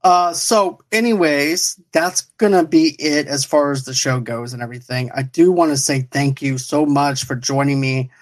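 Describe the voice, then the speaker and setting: A man's voice: fairly high pitch, normal speed, hardly any pauses. A man hosting an online pop culture show in casual chat, talking in a rambling, repetitive way.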